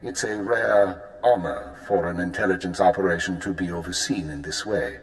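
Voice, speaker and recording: An actor playing a formal imperial intelligence officer giving orders. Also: male voice, sits low, normal speed, slight background noise, close to an everyday voice. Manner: crisp, formal briefing.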